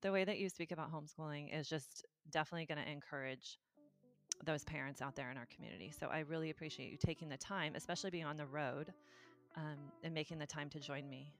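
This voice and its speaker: Host: woman, very low voice, quick delivery, faint music behind, affirming interviewer. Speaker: podcast host. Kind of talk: podcast interview.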